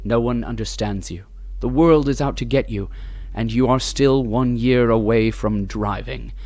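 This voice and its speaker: An actor narrating an audio drama as a wry, grandiose, mock-solemn storyteller with deliberate phrasing. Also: male voice, medium pitch, darkish tone, natural voice.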